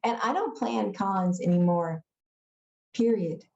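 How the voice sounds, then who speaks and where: A woman's voice: medium pitch, slow pace, vocal fry, a little mellow, echoey room, lo-fi sound. A woman reading the part of a cool, reluctant middle-school boy schemer in a storybook, terse and understated. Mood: dismissive, firm.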